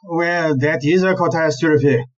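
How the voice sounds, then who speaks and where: A slightly dull recording of an audio drama. A woman plays a male police chief inspector, smooth-talking and dismissive, a philanderer who speaks slowly in a lowish register. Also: fairly even intonation. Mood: startled. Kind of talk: audio play acting.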